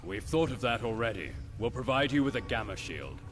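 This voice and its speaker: An actor playing a courteous, concerned official in a space game, speaking in a measured, formal way. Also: male voice, mid-range voice, big pitch swings, over music, natural voice. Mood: businesslike. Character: courteous, concerned male station official giving missions.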